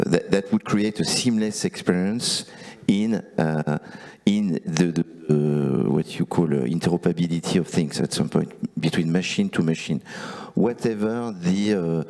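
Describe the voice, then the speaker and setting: A man on a conference panel, halting and full of restarts, speaking into a handheld mic. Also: sits low, a little mellow. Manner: halting, many fillers and restarts. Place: conference stage.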